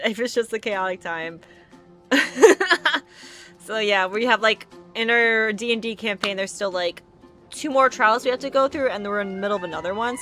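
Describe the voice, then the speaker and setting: Chatty, bright-voiced woman game streamer. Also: a little high. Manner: chatty, rambling, giggly. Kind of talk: casual livestream chat. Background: video game music.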